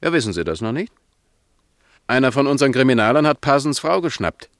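An actor playing a talkative, matter-of-fact police officer, chatty yet official, in a German radio play. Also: male voice, mid-range voice, big pitch swings, a little fast, dry recording, studio quality. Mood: chatty, confiding.

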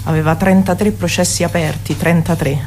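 A woman being interviewed as a performer, speaking spontaneously and pausing to search for words. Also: on the low side, fairly even intonation, a little mellow. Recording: clear background ambient noise.

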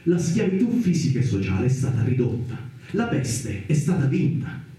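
A man's voice: mid-range voice, sing-song, moderate pace, warm tone, natural voice. An actor playing an idealistic, impassioned male doctor in a theatrical radio drama, arguing in an earnest, rhetorical way. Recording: echoey, distant, roomy sound.

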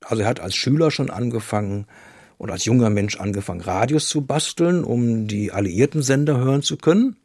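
A man's voice: medium pitch, a little fast, a little mellow, husky, no room sound, clean studio sound. A man giving spoken explanations as an interviewed expert.

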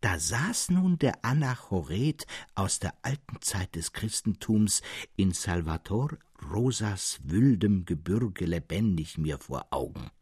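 Husky, low-voiced male literary narrator. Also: sing-song, slow, darkish tone, no echo, studio quality. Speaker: narrator, reader. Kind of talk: expressive literary scripted narration.

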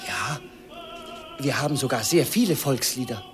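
An actor playing a shy, sheltered nineteen-year-old in love, hesitant and gentle, in a radio play. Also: male voice, medium pitch, expressive, normal speed, natural voice. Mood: shy, curious. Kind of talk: 1950s radio play acting. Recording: vintage radio recording with music behind.

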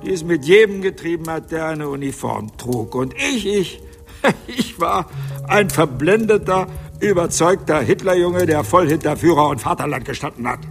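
An actor playing an old man in a German radio drama, confessing bitterly in halting, emotional phrases. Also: a little high, animated, soft background music. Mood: bitter outburst. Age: older. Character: old man bitterly confessing his wartime youth, agitated.

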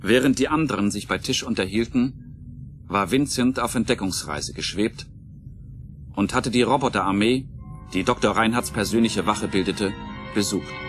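Male narrator, low pitch, scripted radio play storytelling, music underneath. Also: normal speed.

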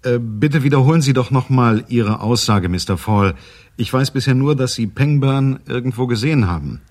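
An actor playing a dry, methodical detective in a German radio crime drama, speaking in a measured, matter-of-fact way. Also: male voice, medium pitch, animated, darkish tone, no room sound, lightly acted. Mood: businesslike. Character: dry, methodical male detective narrating his case. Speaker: private detective and narrator.

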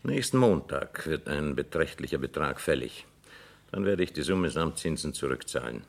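A haughty aristocrat with an extremely low, gravelly voice. He speaks formally and with measured command, as a man used to being obeyed, in classic radio drama style. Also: animated, heavily acted. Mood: businesslike, assured. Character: haughty, commanding nobleman client of a London banker. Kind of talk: German radio drama acting.

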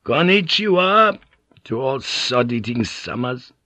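A man's voice: medium pitch, big pitch swings, slow pace, pushed and bright, no echo, pitched above the performer's own voice, full character voice. A man voicing a brash, swaggering Portuguese ship's pilot in an audiobook, cocky, bluffing and coarse-mouthed.

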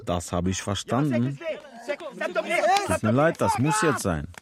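An actor playing a brusque yet apologetic captor in a radio drama, heard amid a loud crowded din. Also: male voice, on the low side, expressive, unhurried, a loud crowd. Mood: curt. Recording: very noisy, crowded din behind.

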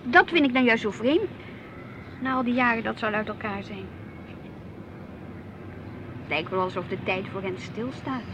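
A woman's voice: on the high side, moderate pace, long pauses, fairly bright tone, dull audio, close to an everyday voice. An actress playing an inquisitive, earnest wife and mother in a naturalistic radio play, with a Netherlands Dutch accent. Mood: questioning. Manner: questioning, earnest. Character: inquisitive, reflective wife and mother, easily piqued at her husband. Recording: noisy outdoor street ambience with traffic.

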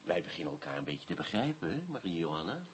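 An actor playing a sly police inspector, mock-polite and insinuating, in a Dutch radio play. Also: male voice, low-pitched, sing-song, fast talker, slight background noise. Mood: smug coaxing. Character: sly, sardonic male police inspector, coolly coaxing.

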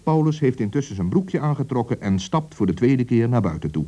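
Lively man narrating a children's story. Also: mid-range voice, brisk, slightly rough, muffled recording.

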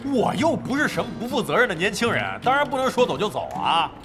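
A man playing a brash, foul-mouthed veteran captain of a gaming team in an audio drama, blustering from mutters to shouts. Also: high-pitched, sing-song, quick pace, over music. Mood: gruff, threatening. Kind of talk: Chinese radio drama acting.

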